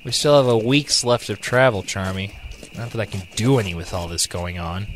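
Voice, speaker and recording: A man voicing a young male echidna guardian, a gruff, bossy leader, in an amateur radio drama. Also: lowish voice, moderate pace, noisy background, natural voice. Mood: commanding. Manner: bossy, commanding. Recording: echoey room with long reverb.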